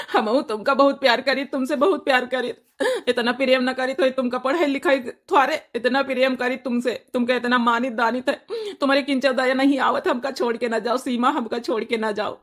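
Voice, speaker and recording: A high-pitched young village husband played by a woman in a comic cartoon. He talks with an Awadhi accent in an emphatic, wounded, repetitive way. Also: steady tone, fairly quick, a bit bright. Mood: desperate pleading.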